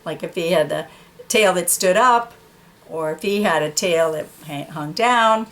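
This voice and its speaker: A woman's voice: lowish voice, measured pace, a bit bright. A woman reading a picture book to children, narrating informally with explanatory asides. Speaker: storytime reader.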